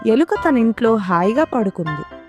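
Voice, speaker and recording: High-voiced woman narrating children's stories. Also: sing-song, normal speed. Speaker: storyteller. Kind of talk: scripted children's story narration. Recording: music behind the voice.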